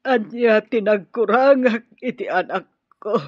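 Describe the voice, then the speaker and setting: A woman's voice: on the high side, takes it slowly, no echo. A woman playing a sorrowful mother pining for her child in an Ilocano radio drama. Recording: dull, dry radio drama audio.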